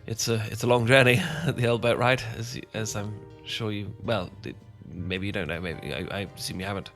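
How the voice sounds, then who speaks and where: A man with an English accent playing a charming, shy young gentleman vampire in an ASMR romance roleplay, polite and old-fashioned, often stumbling over his words. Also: lowish voice, fairly even intonation, brisk, faint music behind. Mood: shy, courteous. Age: young adult.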